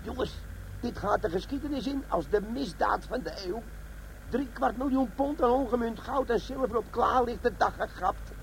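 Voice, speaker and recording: An actor playing a self-important, grumbling bomb disposal sergeant with a crooked streak in a comic radio play. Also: male voice, very high voice, moderate pace, vocal fry, a little hiss or hum, low-quality recording. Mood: gloating. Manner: blustering, self-important, complaining. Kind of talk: comic radio drama acting.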